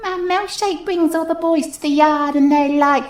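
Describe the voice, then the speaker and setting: Lonely small boy played by a woman, high singing voice in an echoing space, for comic audio drama. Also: fairly even intonation, normal speed, natural voice. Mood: playful singing. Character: lonely little schoolboy singing to himself. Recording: echoey, as in an empty classroom.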